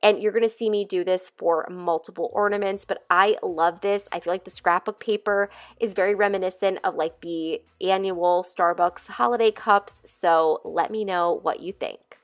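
A woman's voice: on the low side, steady tone, moderate pace, hardly any pauses, no room sound. A woman with a nasal voice, getting over a cold, talking through a craft tutorial in a chatty, rambling voice-over. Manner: chatty, rambling, friendly asides. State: recovering from a cold. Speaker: DIY craft channel host.

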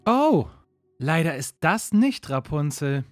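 An actor voicing a kind, polite young prince in a cartoon dub. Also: male voice, a little high, big pitch swings, takes it slowly. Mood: earnest. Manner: earnest, polite. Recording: music behind.

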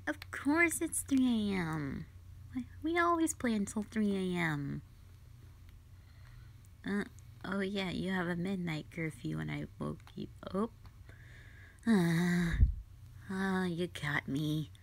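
A young woman playing a sulky, dramatic tsundere gamer girlfriend in an audio roleplay, with a whiny, pouty, drawn-out delivery. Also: fairly low pitch, creaky, exaggerated voice. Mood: whiny protest. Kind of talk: roleplay audio drama, intimate one-sided dialogue.

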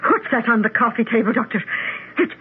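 An actress playing a plain-spoken, superstitious, nervous housekeeper in a 1970s radio drama, folksy and hesitant. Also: female voice, on the high side, brisk, lightly acted. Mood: flustered. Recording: muffled, hissy old radio broadcast.

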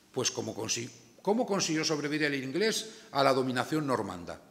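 An older man from Spain, speaking with a Spanish accent, lectures in a reverberant room. His pitch sits in the middle of the male range. He is emphatic and informal, wandering into asides and posing questions that he then answers himself. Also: a bit bright. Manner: emphatic, digressive, with rhetorical questions and frequent tag questions. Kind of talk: informal academic lecture to an audience. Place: indoor lecture room, seated at a table. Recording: echoey lecture room recording.

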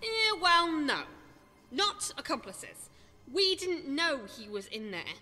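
Woman as an earnest young companion, very high pitch, bright tone, lively, long reverb. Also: slow pace, exaggerated voice. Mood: curious. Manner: earnest and inquisitive. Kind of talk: fan audio drama acting. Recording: long reverb with music behind.

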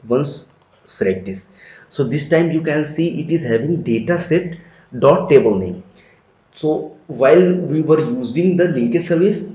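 A man giving a steady step-by-step screen-recorded software tutorial, repeating phrases as he explains. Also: mid-range voice. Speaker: tutorial instructor. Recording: dull lo-fi roomy sound.

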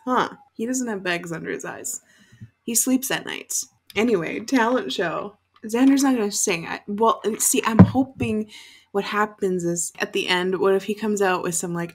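A woman's voice: mid-range voice, animated, moderate pace, husky. A young woman giving casual, sweary reaction commentary in a room at home. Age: young adult. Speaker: reaction video host. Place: small furnished room at home, indoors.

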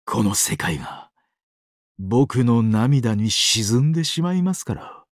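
An actor playing a sadistic, weeping angel of doomsday in a mobile game, exaggeratedly polite and dramatic, swinging between sorrow and glee. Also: male voice, medium pitch, big pitch swings, slow pace, no room sound, studio quality. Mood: tender, tearful. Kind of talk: Japanese mobile game character acting.

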